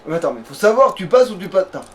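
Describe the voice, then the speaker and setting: A man's voice: high voice, sing-song, rapid-fire. A man chatting casually and slangily as he plays a video game, swearing freely. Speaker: let's play gamer. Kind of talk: casual gameplay chat. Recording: noisy, distant mic, game ambience.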